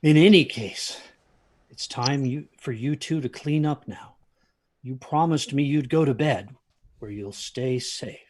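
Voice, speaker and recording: An actor playing a haunted older grandfather, husky-voiced, telling a ghost story of his youth with halting intensity. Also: mid-range voice, a little mellow, slight background noise, lightly acted. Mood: weary, evasive. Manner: halting, intense, repeats himself. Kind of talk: dramatic storytelling.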